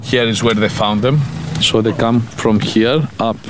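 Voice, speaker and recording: A man's voice: mid-range voice, fairly even intonation, measured pace. A man working as a local aid worker, explaining things informally on location outdoors. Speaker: aid worker guiding a reporter. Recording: loud outdoor traffic and ambient noise.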